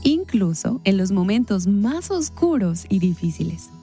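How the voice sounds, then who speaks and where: A woman's voice: medium pitch, sing-song, measured pace, warm tone, soft background music. Woman announcer reading scripted narration. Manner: smooth, read-aloud announcing.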